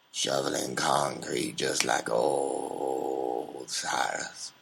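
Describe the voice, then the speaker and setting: A man playing a menacing, bitter old cult leader in an audio drama, with a gravelly voice and a rustic, brooding way of talking. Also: extremely low pitch, a bit bright, a little hiss or hum, full character voice. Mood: bitter, resentful.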